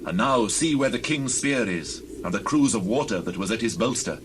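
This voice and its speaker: Outdoor noise and birdsong surround a low, solemn male voice. A man acts out a devout fugitive warrior of a Bible drama, humble yet bold, declaiming in formal archaic speech with earnest weight. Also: lively intonation, moderate pace, lightly acted. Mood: reproachful, challenging. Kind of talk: dramatized scripture audio acting.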